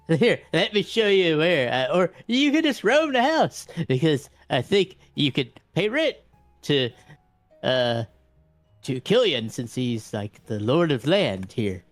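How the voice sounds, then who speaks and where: A man's voice: fairly high pitch, big pitch swings, slow pace, pauses often, dry recording, faint music behind. A man voicing a courtly, pious vampire in a tabletop game, prudish around ladies, with hesitant, stammering, old-fashioned politeness. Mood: flustered, prim. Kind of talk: improvised tabletop roleplay.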